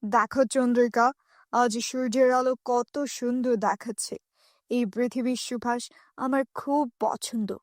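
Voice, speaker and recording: A woman's voice: on the high side, fairly even intonation, measured pace, no echo, clean studio sound, pitched below the performer's own voice, close to an everyday voice. A woman as a serene, admiring fairy. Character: serene female fairy admiring the human world. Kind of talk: children's fairy-tale cartoon dub.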